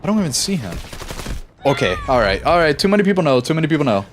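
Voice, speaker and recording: A young man live-streaming a shooter game, chatting casually with his viewers and reacting quickly during fights. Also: on the high side, big pitch swings, noisy background. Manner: easygoing, chatty, reads chat aloud, quick reactions mid-fight. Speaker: gaming streamer. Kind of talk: casual live-stream chat while playing.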